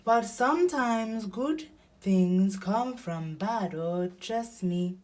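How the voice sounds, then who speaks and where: A woman's voice: mid-range voice, animated, slow, a little mellow, voice pushed up. A woman voicing a kindly, wise owl in a children's story, soothing and encouraging. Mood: comforting. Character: kindly, wise owl who comforts others.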